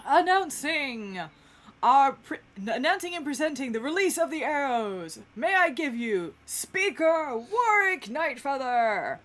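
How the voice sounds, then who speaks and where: A woman's voice: high-pitched, sing-song, unhurried, a bit bright, full character voice. A woman voicing a groundhog festival announcer who proclaims formally in a tabletop game. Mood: grandly announcing. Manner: ceremonious, proclaiming.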